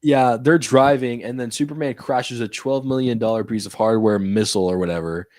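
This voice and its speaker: A man co-hosting a casual movie review chat, retelling scenes in a relaxed way with fillers. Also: mid-range voice, brisk, no room sound, studio quality. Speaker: podcast co-host.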